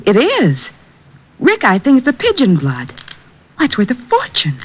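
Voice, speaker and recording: High, lilting detective's sweetheart. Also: female voice, normal speed, slightly rough, heavily acted. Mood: amazed. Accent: American. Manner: curious, coaxing, expressive. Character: flirtatious, inquisitive sweetheart of a private detective. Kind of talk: old-time radio drama acting. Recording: muffled vintage radio broadcast recording.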